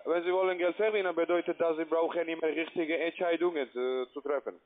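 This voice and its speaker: A man who is a non-native speaker gives a halting, repetitive scripted sales pitch as a telephone trading agent. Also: fairly even intonation. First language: not German. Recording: tinny narrow-band phone line.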